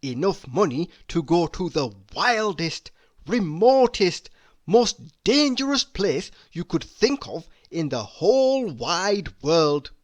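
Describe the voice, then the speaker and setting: Someone reading a kids storybook out loud, really slow, lots of up and down, drags out the important words. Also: mid-range voice. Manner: deliberate, expressive storytelling with drawn-out emphasis on key words. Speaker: storybook reader. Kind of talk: read-aloud children's storybook narration.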